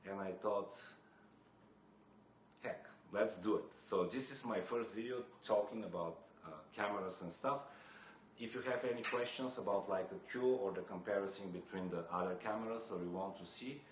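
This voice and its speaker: Deep-voiced man vlogging. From Bulgaria. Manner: hesitant, many fillers and restarts. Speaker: photography vlogger. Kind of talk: casual to-camera talk. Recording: echoey room with faint noise.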